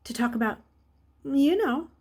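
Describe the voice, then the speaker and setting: A woman's voice: sits high, lively intonation, measured pace, darkish tone, voice pushed up. A woman voicing an anxious schoolboy who speaks hesitantly and keeps asking questions. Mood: tentative.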